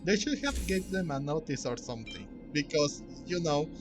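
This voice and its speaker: A man with a fairly high voice gives casual gameplay commentary. He rambles and thinks aloud in an unscripted way, with clear game music playing behind him. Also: lively intonation, moderate pace. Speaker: gamer recording a playthrough. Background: video game music.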